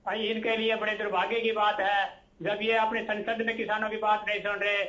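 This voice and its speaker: Male political spokesman, sharp high voice with a steady tone, emphatic repetition, dull remote-link sound. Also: moderate pace. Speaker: political party spokesman, debate guest. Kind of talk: political debate speech.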